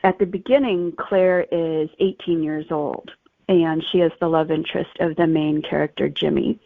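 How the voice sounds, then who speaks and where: Lowish-voiced woman author, phone-like audio. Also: steady tone, normal speed, vocal fry, no echo. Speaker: author being interviewed. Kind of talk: conversational interview explanation. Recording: thin phone-like, dry sound.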